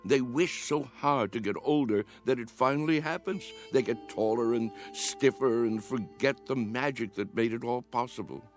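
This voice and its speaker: A man's voice: mid-range voice, moderate pace, hardly any pauses, faint music behind, close to an everyday voice. An actor playing an earnest, dreamy old man who muses fervently about youth, in a radio drama. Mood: searching, musing. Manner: earnest, musing, insistent.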